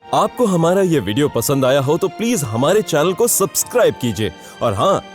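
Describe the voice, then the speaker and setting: A man's voice: on the high side, sing-song, normal speed, soft background music. A man giving a scripted channel announcement.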